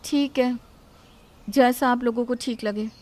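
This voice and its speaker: A plaintive young daughter-in-law, voiced by a woman narrator in a moral story. Her voice is very high and insistent, always justifying herself and shifting the blame. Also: steady tone, measured pace, close to an everyday voice. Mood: polite. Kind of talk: acted dialogue in a Hindi moral story.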